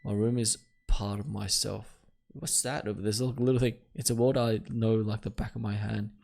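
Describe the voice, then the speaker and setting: A man's voice: lowish voice, flat delivery, normal speed, vocal fry, a little mellow. A man doing let's-play commentary, reading game text aloud and reacting in a jumpy, nervous way. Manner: jumpy, exclaims nervously between read-out passages. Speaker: video game streamer.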